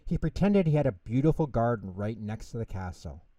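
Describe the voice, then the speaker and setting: A man's voice: medium pitch, very animated, a little fast, mellow, no echo, recorded from a distance, highs cut off. A man narrating a scripted children's bedtime story as the storyteller.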